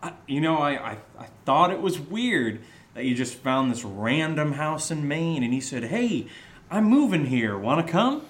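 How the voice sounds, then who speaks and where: A man acting in a horror audio drama as an excitable, sarcastic friend, with an animated, halting, rambling delivery. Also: fairly high pitch. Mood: incredulous, upset. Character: excitable, sarcastic, rattled male friend who drinks.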